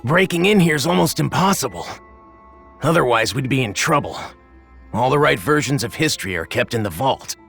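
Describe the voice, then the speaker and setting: A man's voice: mid-range voice, expressive, normal speed, creaky, a bit bright, faint music behind. A man playing a serious, determined young warrior with a stern, urgent manner in a video game. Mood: grave resolve. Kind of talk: animated game cutscene acting.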